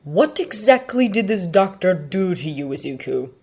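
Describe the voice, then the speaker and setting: A woman's voice: lowish voice, big pitch swings, normal speed, a little mellow, slightly rough, heavily acted. Woman reading as a terse, serious teenage boy. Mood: cold anger. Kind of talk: audiobook character voice.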